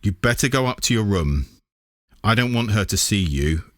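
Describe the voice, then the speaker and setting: A caring, practical storybook aunt, voiced by a man, gently sensible in manner. The voice stays fairly low, with a dry close sound. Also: lively intonation, normal speed, dry recording, close to an everyday voice. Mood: gently cautioning.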